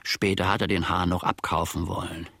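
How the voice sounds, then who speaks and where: An actor playing a grumbling, self-important old manservant in a German radio play, husky and gravelly, telling his grievances in halting, mock-formal phrases. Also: sits low, level tone, bright, forceful tone, no echo, clean studio sound, heavily acted. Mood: grumbling, confiding.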